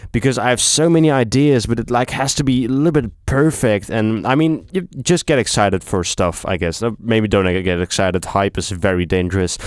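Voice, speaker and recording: Young man, German accent, smooth clear voice, dry close sound, rambling vlog chat. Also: medium pitch, darkish tone, no room sound. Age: young adult. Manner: rambling, many fillers and restarts. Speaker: YouTuber.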